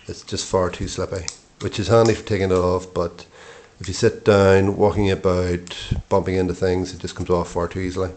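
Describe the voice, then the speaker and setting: A middle-aged man giving an informal product review in short, matter-of-fact phrases with hesitant pauses. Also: low-pitched, flat delivery, a little mellow.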